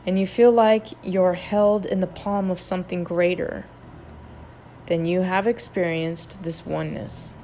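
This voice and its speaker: A woman narrating a guided meditation in a gentle, measured, instructional manner. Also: fairly low pitch, fairly even intonation, a little hiss or hum.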